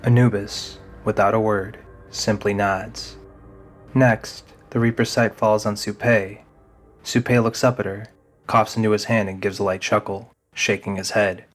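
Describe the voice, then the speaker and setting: A man's voice: lowish voice, level tone, a little slow. A man reading scripted dramatic narration as the narrator of an audio drama. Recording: music behind the voice.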